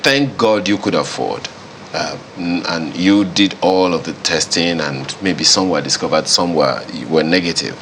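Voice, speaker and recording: A man's voice: sits low, unhurried, gravelly, a bit bright, slight background noise. A man hosting a TV studio discussion, talking casually and searching for words with fillers. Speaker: TV talk show host.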